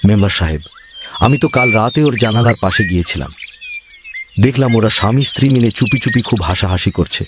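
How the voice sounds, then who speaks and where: A man's voice: lowish voice, quick pace, noisy background, natural voice. A man voicing a village boss's timid henchman in a cartoon, deferential and hesitant. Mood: secretive, reporting. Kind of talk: cartoon voice acting.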